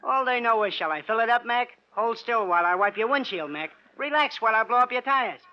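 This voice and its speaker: High-voiced, wisecracking New York garage mechanic. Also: male voice, lively intonation, fairly quick. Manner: streetwise, rambling, wisecracking. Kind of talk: 1950s radio crime drama acting. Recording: dull, noisy old radio recording.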